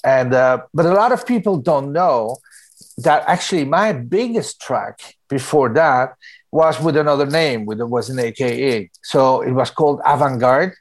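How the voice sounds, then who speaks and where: Animated middle-aged man, tinged English. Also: mid-range voice, moderate pace. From born in Belgium, raised in Spain. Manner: animated, laughing, repeats words. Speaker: music producer and DJ guest. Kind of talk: casual interview chat.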